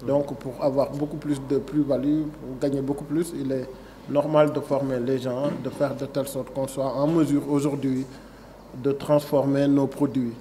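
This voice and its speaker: A man's voice: medium pitch, level tone, moderate pace, warm tone, slight background noise. A man in his forties with a Senegalese French accent, speaking at a signing ceremony in a conference room. He moves from reading a prepared text to speaking off the cuff, with many fillers and restarts. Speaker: agency director giving a speech. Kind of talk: formal speech at a signing ceremony. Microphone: table microphone.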